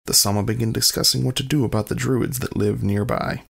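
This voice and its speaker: A man's voice: mid-range voice, steady tone, brisk, gravelly, a bit bright, slightly rough. A man reading scripted narration as the scene announcer of an audio drama.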